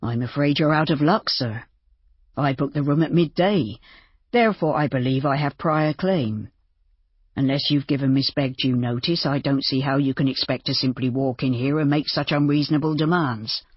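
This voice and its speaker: Narrator putting on a cool, self-assured gentleman, husky. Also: medium pitch, no room sound, highs cut off, natural voice. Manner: casual, deliberate, unruffled. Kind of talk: audiobook character dialogue.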